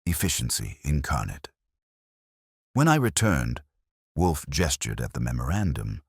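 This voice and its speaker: Very deep, husky male mystery narrator. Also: very animated, unhurried, dry recording, studio quality. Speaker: audiobook narrator. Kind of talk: scripted first-person hardboiled detective narration.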